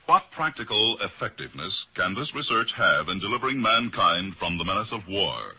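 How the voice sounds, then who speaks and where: An American man introducing a program in a formal, declamatory 1950s broadcast style, with a raspy voice. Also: lowish voice, big pitch swings, moderate pace, bright, forceful tone. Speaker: radio program announcer.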